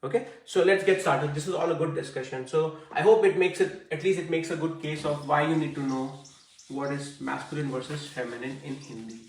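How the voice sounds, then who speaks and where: Man, slightly high voice, online teacher, chatty and filler-heavy, roomy and off-mic. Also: fairly quick, distant mic. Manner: conversational, frequent fillers and "I see". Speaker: Hindi teacher.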